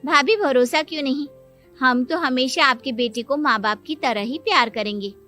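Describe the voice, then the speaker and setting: A woman playing a gentle, earnest, childless young wife and affectionate aunt in a moral story. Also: high-pitched, normal speed, soft background music. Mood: earnest protest. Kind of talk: dramatised Hindi moral story acting.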